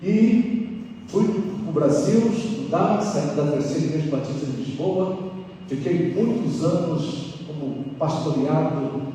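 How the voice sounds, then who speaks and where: Echoey, very dark-voiced older Brazilian-accented man. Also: on the high side, lively intonation, a little slow. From Angola. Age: 60s. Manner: conversational, addresses listeners directly. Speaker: pastor and seminar preacher. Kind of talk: seminar teaching with personal anecdotes. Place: church hall, before an audience. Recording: echoey hall, distant mic, faint noise.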